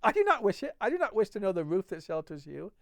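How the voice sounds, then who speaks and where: A man reading a storybook role of a rough, unsavory drunkard, bitter, scornful and loudly declamatory. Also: high voice, very animated, fast talker, no echo, studio quality, voice pushed up, full character voice. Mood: angry, scornful. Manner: loud, declamatory.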